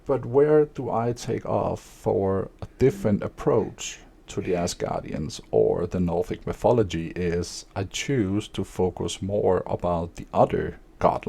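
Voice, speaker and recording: Guy talking slow and flat, kinda low voice, lots of ums, streamer rambling. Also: creaky, slightly rough. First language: Danish. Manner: monotone; hesitant, many fillers. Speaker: streamer and aspiring author. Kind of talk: casual spoken monologue.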